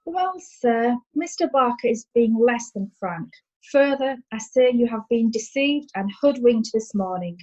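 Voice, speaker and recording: A plain-spoken farmer's wife voiced by a woman addresses the bench in an old-time courtroom audio drama. Her slightly high voice stays earnest and deferential. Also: expressive, a little slow, few pauses, dark tone, muffled recording. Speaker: defendant.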